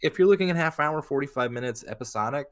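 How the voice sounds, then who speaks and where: A man's voice: fairly high pitch, expressive, fast talker. A man co-hosting a podcast in a joking, conversational, casual way. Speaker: podcast co-host.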